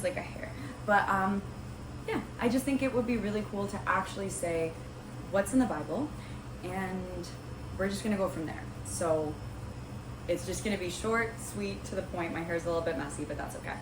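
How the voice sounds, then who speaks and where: A young woman hosting a Bible study vlog, a little raspy from a cold, chatty and rambling with many ums and likes. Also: medium pitch, moderate pace. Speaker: host of a Bible study video series. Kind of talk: casual talking-to-camera vlog. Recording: roomy with clear background noise.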